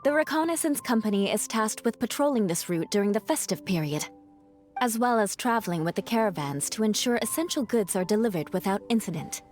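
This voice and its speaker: A woman's voice: medium pitch, expressive, fairly quick, darkish tone, soft background music, close to an everyday voice. A woman playing a proud, composed young female knight captain in an anime game, formal and matter-of-fact with a slightly haughty edge. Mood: businesslike.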